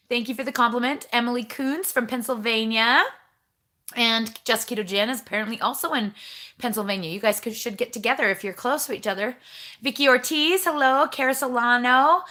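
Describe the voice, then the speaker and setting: A woman's voice: on the high side, bright, forceful tone. A woman hosting a casual livestream from home, chatting with viewers and greeting them by name in a bubbly, rambling way. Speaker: livestream host. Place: home kitchen.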